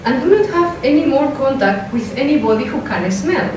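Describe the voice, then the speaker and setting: A woman's voice: high voice, expressive, a little mellow. Spanish-accented woman lecturing in an echoey hall. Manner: careful, measured delivery with hesitant fillers when speaking freely. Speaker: philosopher giving a workshop talk. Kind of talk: academic lecture, partly read from a script. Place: lecture room. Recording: echoey lecture hall, mic from a distance, noisy.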